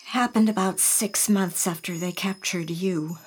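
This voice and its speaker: Actress as breathy, earnest young woman. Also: lowish voice, fairly even intonation, measured pace. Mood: subdued. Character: earnest, emotional captive young woman, devoted fiancée. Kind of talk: audio drama acting.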